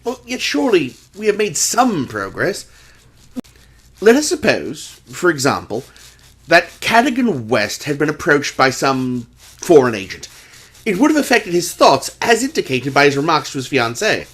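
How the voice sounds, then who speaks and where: A man acts an earnest gentleman who is both sidekick and narrator of a radio mystery. His husky voice is a little high and moves in lively swings of pitch. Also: moderate pace, heavily acted. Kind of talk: radio play acting and narration.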